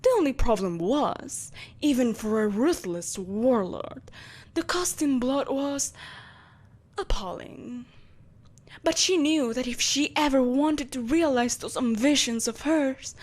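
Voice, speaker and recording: An ageless, ruthless empress of dark magic, performed by a woman for an audio drama. Her voice sits on the high side for a woman. She speaks in deliberate, theatrical phrases, proud and politely condescending, like a ruler addressing an inferior. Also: expressive, exaggerated voice. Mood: grandiose, theatrical. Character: ancient, ageless evil sorceress empress, haughty, ruthless, possessive.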